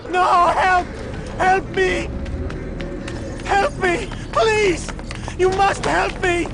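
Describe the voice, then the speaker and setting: A man's voice: extremely high pitch, steady tone, very slow, gravelly, a crowd in the background, heavily acted. A man playing a hysterical, defiant hospital patient in a video game, screaming frantically. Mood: panicked, begging. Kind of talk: dramatic video game acting. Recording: loud music behind the voice.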